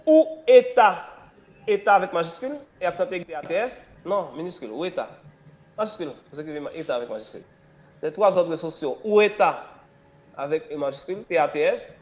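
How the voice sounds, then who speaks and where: Hoarse male teacher with a cold. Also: fairly high pitch, expressive, brisk. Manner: repetitive, spells words out letter by letter; emphatic rising stresses, rhetorical questions. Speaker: history teacher. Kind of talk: classroom dictation lesson. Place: classroom.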